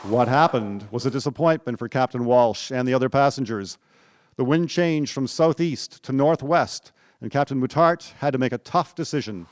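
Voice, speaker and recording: A man's voice: mid-range voice, normal speed, a little hiss or hum. A man narrating a historical radio drama in scripted storytelling style. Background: music.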